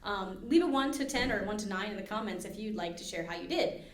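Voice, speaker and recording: A woman's voice: mid-range voice, expressive, quick pace, far from the mic. A woman hosting a library podcast, chatting through a trivia quiz with many fillers and restarts. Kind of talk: casual chat with trivia quiz. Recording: roomy echo.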